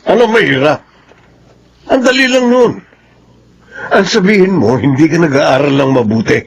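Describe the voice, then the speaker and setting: A man's voice: fairly high pitch, expressive, moderate pace, a little hiss or hum. A man playing a strict, protective father in a Filipino radio drama. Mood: stern, scolding.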